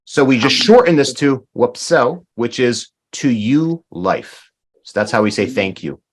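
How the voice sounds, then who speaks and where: A man's voice: medium pitch, animated, measured pace. A man teaching a language class over a video call, patiently explaining words and repeating them.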